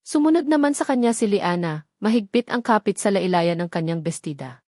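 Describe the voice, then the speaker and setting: A woman's voice: mid-range voice, animated, brisk, darkish tone, no room sound, clean studio sound. A woman with a Filipino accent reading a scripted, dramatic story as its narrator.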